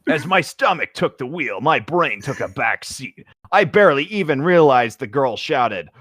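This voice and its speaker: A man's voice: mid-range voice, sing-song, moderate pace, fairly bright tone, dry recording, studio quality. A man voicing a proud, hungry teenage half-shark hero in mock-dramatic story narration. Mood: wry, proud.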